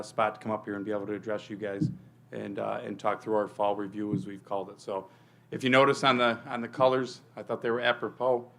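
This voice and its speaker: A police chief briefing a local board, talking quickly in a gravelly voice. He speaks loudly so the room can hear him, with plenty of "uh" and "um". The pitch stays flat throughout. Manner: speaks loudly without a microphone, filler-heavy. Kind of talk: presentation to a village board. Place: meeting room.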